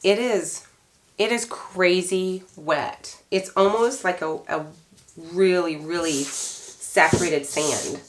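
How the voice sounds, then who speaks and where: Hoarse lady just chatting thru a craft batch at home, easygoing, bit of faint hiss. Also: on the low side, slow pace. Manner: chatty, relaxed, thinks aloud while working. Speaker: craft maker. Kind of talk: casual how-to chat.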